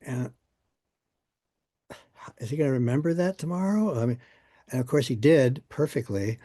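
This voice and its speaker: Mellow older man telling anecdotes. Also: mid-range voice, animated, normal speed, dry recording, clean studio sound. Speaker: musician being interviewed. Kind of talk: casual anecdotal storytelling in an interview.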